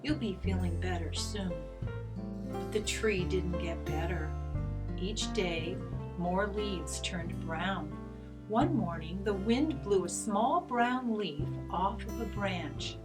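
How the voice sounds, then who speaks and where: A woman reading a children's story aloud, with expressive, gentle and deliberate narration. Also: medium pitch. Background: guitar music.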